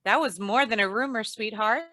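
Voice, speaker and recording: Actress as shrewd, affectionate sitcom-style radio wife; bright forceful tone, dry close sound, teasing comebacks. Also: female voice, a little high, normal speed, no echo. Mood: wry, fond. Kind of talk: 1940s radio comedy acting.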